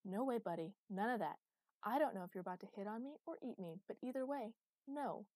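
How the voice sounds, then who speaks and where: A woman's voice: medium pitch, animated, a little fast, a little mellow, dry recording, pitched above the performer's own voice, natural voice. A woman voicing a stubborn, sarcastic mortal woman in her twenties, indignant and emphatic, in a dramatized story. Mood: alarmed, defiant.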